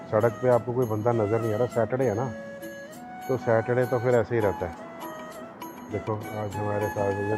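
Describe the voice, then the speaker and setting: Guy with a cold doing a casual day vlog on his phone, kinda low voice, loud music behind. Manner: chatty, addresses viewers directly. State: sore throat and cold. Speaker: vlogger. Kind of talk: casual daily vlog narration. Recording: music playing loudly behind.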